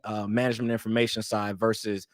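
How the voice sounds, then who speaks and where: A young man from East St. Louis, guest on an interview stream, telling his story in a relaxed, rambling way with lots of "man" and restarts. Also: on the low side, quick pace, dry recording, studio quality. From East St. Louis, Illinois.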